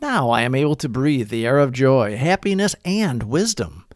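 Guy reading meditations out loud, measured but really moves up and down in pitch, dry sound. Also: animated, dry recording. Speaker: narrator of daily recovery readings. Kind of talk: scripted narration, devotional read-aloud.

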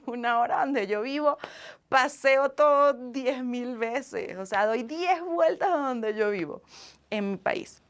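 A young Venezuelan woman with a fairly high voice, hosting a live stream from a small room. She talks casually and playfully, reading out comments and answering them in an expressive, affectionate way. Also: takes it slowly. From Caracas, Venezuela. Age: young adult. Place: small indoor room with couch and acoustic foam.

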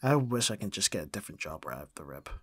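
A man playing a gruff but caring young roommate in an audio roleplay, casual and dryly grumbling, with a slightly husky voice. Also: sits low, sing-song, fast, fairly bright tone, no echo, full character voice. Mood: relaxed, caring. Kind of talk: ASMR audio roleplay acting.

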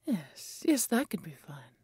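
A woman playing a proud, menacing wounded dragon in a fantasy audio roleplay, with haughty, deliberate delivery and a breathy, whispery growl. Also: medium pitch, sing-song, a little mellow, dry recording, clean studio sound, exaggerated voice. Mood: musing, menacing. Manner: haughty, slow, deliberate threats. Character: proud, menacing wounded female dragon guarding her hoard.

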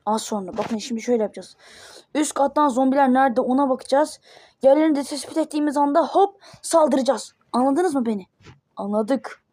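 A woman voicing panicky kid characters in a homemade cartoon, with excitable exclamations. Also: high voice, brisk. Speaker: cartoon voice performer.

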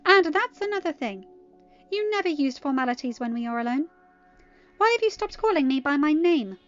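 A woman playing a haughty young royal lady in a story, melodramatic and indignant, speaking with shrill, loud emphasis. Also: expressive, measured pace. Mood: outraged. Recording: muffled lo-fi audio with music.

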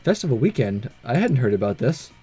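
A man voicing an earnest, self-doubting teenage schoolboy's inner thoughts in a visual novel, read softly and reflectively. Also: mid-range voice, big pitch swings, brisk, breathy edge, soft background music, voice pushed down. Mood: weary.

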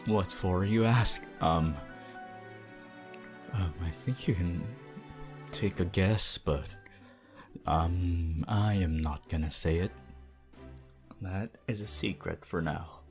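A man voicing a shy, guarded teenage anime hero student in ASMR roleplay, soft and hesitant. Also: deep voice, slow, long pauses, over music. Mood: awkward, bashful.

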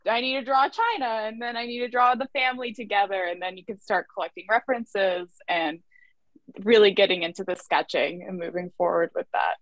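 A woman's voice: medium pitch, brisk, no room sound, rough recording. A young woman from Canada, an illustrator, chatting casually about her work on a video call, laughing often and saying "like" a lot. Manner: giggly, chatty, uses "like" a lot. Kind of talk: casual conversation. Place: small room at home. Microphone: laptop webcam video call.

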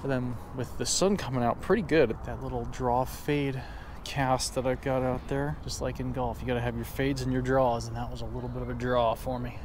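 A man's voice: medium pitch, fairly even intonation, fairly quick, background noise. An American man in his thirties giving casual, upbeat running commentary as a fishing vlogger, recorded outdoors. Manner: chatty, informal, upbeat. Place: outdoors by a small lake.

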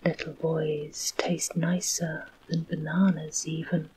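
A woman reading a storybook aloud in a soft, slow whisper. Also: deep voice, level tone, a little hiss or hum, low-quality recording. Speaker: narrator.

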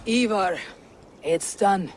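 A woman playing a hardened Viking warrior in a video game, with a low, gruff voice and a blunt, terse manner. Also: takes it slowly, heavy background noise, natural voice. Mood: brisk, searching. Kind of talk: video game dialogue acting. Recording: clear outdoor ambience behind voice. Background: outdoor game ambience.

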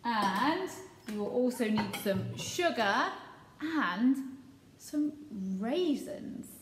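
A woman, teaching young children a simple recipe in a slow, sing-song way from her home kitchen. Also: on the high side, lively intonation, reverberant, soft background music. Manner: slow, sing-song, gestures as she explains. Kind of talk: cooking lesson for young children. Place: small home kitchen, indoors. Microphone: webcam or phone at close range.

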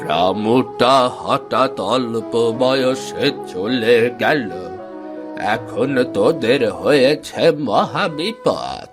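A man's voice: mid-range voice, animated, extremely slow, over music. A man voicing a kindly, poor old village neighbour in a cartoon, with a folksy, sympathetic manner. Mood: concerned. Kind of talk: cartoon voice acting.

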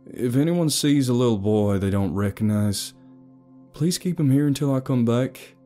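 A man's voice: mid-range voice, quick pace, warm tone, soft background music, voice pushed up, close to an everyday voice. A man playing a worried father in an audio story, earnest, urgent and insistent as he questions others. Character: worried, insistent father searching for missing son.

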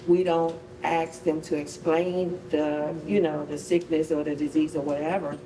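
A middle-aged woman speaks in a low, mellow voice, offering unscripted, heartfelt comments as an audience member. There is faint noise and rumble behind her. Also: little pitch movement, normal speed, poor audio. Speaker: audience member giving feedback. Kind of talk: spontaneous heartfelt audience feedback. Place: large bare concrete rehearsal hall.

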